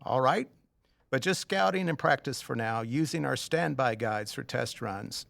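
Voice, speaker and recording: An actor playing a reluctant, fussy, rule-minded tour company boss in an audio drama, with a skeptical, formal manner. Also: male voice, mid-range voice, expressive, unhurried, creaky, fairly bright tone, no room sound, exaggerated voice. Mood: grudging, firm.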